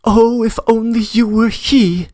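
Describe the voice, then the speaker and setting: A man's voice: very high-pitched, steady tone, a little slow, mellow, no room sound, pitched above the performer's own voice. A man reading aloud, voicing a young woman's tearful, loving letter with an imploring manner. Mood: pleading. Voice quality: emotional, imploring. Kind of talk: audiobook reading.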